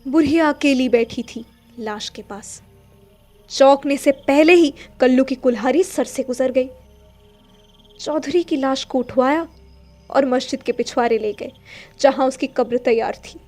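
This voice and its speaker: A woman's voice: high voice, measured pace, darkish tone. A woman narrating a literary story in a dramatic audio-play style. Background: faint music.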